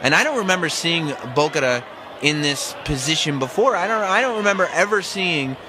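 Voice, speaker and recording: A man calling a wrestling match as a color commentator, excitable and often shouting for emphasis. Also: fairly high pitch, fairly quick, noisy background. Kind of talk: live play-by-play commentary.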